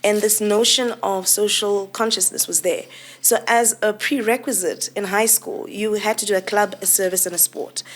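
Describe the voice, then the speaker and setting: A woman's voice: medium pitch, fairly even intonation, normal speed. Reflective woman guest, restarting sentences. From Tanzania. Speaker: guest, co-founder. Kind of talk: interview conversation.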